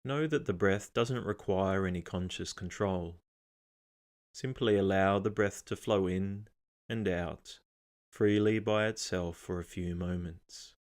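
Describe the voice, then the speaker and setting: A man narrating a guided meditation in a calm, measured, unhurried way, with pauses between instructions. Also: sits low.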